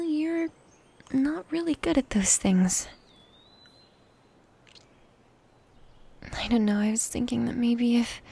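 A woman playing a jealous, needy elf wife in an intimate ASMR roleplay, speaking softly and haltingly with a sulky edge. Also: fairly high pitch, exaggerated voice. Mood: insecure, vulnerable. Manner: soft, breathy, halting, sulky delivery. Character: jealous, possessive, needy tsundere elf wife, female warrior.

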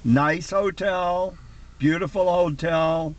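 A man's voice: fairly high pitch, fairly even intonation, slow, lo-fi sound. A man in his seventies chatting casually and ramblingly, with salty language, on a call from inside a car. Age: 70s. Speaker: vlogger on a road trip with old Marine friends. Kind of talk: casual chat on a conference call. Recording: noisy camcorder audio inside a car.

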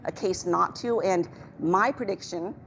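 A middle-aged woman giving measured, explanatory news commentary on a radio show over a muffled, noisy link. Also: fairly low pitch. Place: TV news studio, on video link. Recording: muffled, clearly noisy feed.

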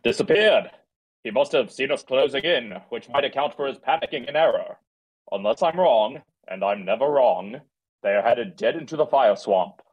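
A man's voice: medium pitch, animated, normal speed, slightly rough, muffled recording. A man playing an arrogant, self-assured prince in a screenplay table read. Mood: smugly certain.

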